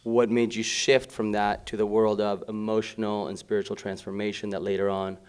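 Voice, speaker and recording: A man's voice: on the low side, level tone, brisk, darkish tone, clean tone. A young man hosting a live podcast interview in a casual, upbeat way. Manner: casual, upbeat, uses fillers like "like". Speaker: podcast host. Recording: live stage with long reverb.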